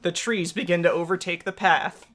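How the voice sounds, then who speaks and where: A woman's voice: fairly low pitch, steady tone, normal speed, fairly bright tone. A woman co-hosting a game playthrough with casual, joking commentary and some read-aloud narration. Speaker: gaming channel co-host.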